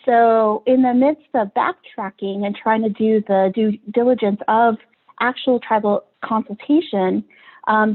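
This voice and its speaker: A woman giving an academic presentation as a researcher on a video call. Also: on the high side. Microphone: video call microphone. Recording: tinny, phone-like video call audio.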